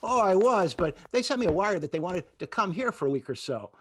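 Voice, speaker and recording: An actor playing an earnest, naive biology teacher in an old-time radio comedy, polite and pedantic. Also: male voice, sits high, animated, a little fast, a bit bright, slightly rough, exaggerated voice.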